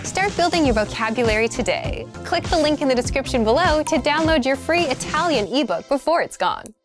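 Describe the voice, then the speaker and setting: A woman's voice: high voice, lively intonation, a little fast. A woman reading a scripted promotional announcement in an upbeat sales tone. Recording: music bed under the voice.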